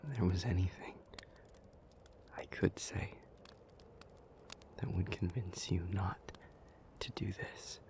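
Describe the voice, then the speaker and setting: A man playing a weary, anguished demon in an intimate audio roleplay, pleading haltingly in a breathy near-whisper. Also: sits low, exaggerated voice. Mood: weary, resigned. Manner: halting, slow, hushed delivery with long pauses.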